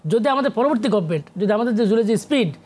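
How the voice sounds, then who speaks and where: A man's voice: sits high, expressive, racing, a little mellow, slightly rough. A middle-aged man with a Bangladeshi accent, an academic guest on a talk show, explaining at length and emphatically, repeating phrases and dropping in English terms. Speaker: guest, academic sociologist and literary researcher.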